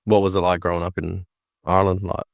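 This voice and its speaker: A man co-hosts a podcast and asks his guest easygoing questions in a casual, friendly tone. His voice sits low in pitch. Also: flat delivery, fast talker, creaky, no echo, clean studio sound. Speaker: podcast co-host. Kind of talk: casual interview chat.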